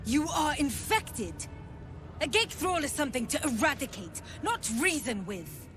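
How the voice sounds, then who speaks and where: High, lively, hostile alien sentry, game score behind. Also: female voice, a little slow, faint music behind, heavily acted. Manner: clipped, hostile. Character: suspicious female githyanki sentry.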